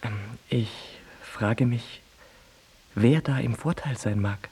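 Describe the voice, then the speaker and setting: A man playing a hesitant, deferential learned gentleman of the eighteenth century in an audio drama, speaking in a halting, bookishly polite way with a husky voice. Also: medium pitch, full character voice.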